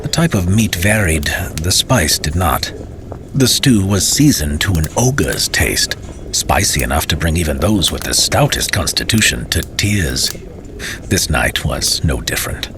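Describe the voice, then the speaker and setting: A man's voice: deep voice, animated, a little slow, noisy background. A man narrating a fantasy tale as a storyteller, with dramatic, theatrical and courtly phrasing.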